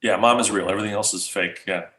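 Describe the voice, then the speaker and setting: A man, a guest speaker, answering questions casually in an animated way, restarting often. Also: lowish voice, quick pace, a little hiss or hum. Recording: roomy echo.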